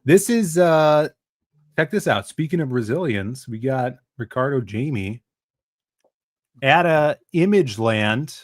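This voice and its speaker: A man's voice: medium pitch, animated, slow, dry recording, clean studio sound. A man co-hosting a casual comics podcast, wryly teasing his co-hosts and showing clips on screen. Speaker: podcast co-host.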